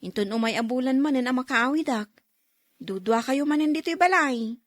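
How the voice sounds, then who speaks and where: A woman acting as a worried grown child in an Ilocano radio drama. The voice is high and close, with a dry sound. The delivery is earnest and pleading, with an Ilocano accent. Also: lively intonation, moderate pace, dry recording. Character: concerned grown child of a quarrelling mother, pleading.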